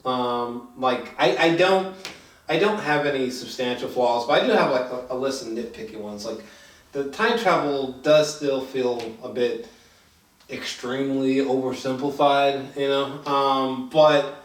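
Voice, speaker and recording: A man giving a casual film review as host, talking in a halting, informal way and swearing freely. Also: medium pitch. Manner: halting, filler-heavy, swearing, animated when excited. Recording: echoey room, distant from mic.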